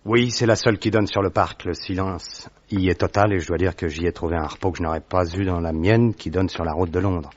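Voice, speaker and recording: A man's voice: deep voice, fairly even intonation, brisk, hardly any pauses, no echo. An actor playing an earnest young man recovering from a long illness, recounting his ordeal in a tired way. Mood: weary. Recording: dry, dull vintage radio recording.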